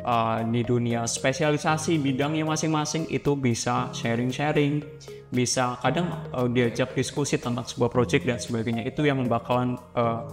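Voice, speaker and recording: A man's voice: mid-range voice, steady tone, normal speed. A man, answering interview questions as a student guest, explaining things politely in semi-casual talk. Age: 20s. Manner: explanatory, polite, addresses listeners as friends. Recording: very reverberant room, clear music underneath. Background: music.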